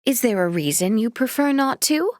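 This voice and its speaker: A woman's voice: on the high side, expressive, moderate pace, a little mellow, no echo, clean studio sound, lightly acted. A woman voicing a young noble princess in a video game, earnest and dignified, with formal, polite, precisely worded speech.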